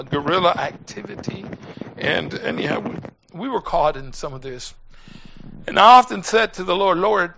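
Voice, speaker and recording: An older man from Puerto Rico preaching through personal testimony in an earnest, halting way, with pauses and repeated phrases. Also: a little high, creaky, pushed and bright, lo-fi sound, slight background noise. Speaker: preacher and former missionary.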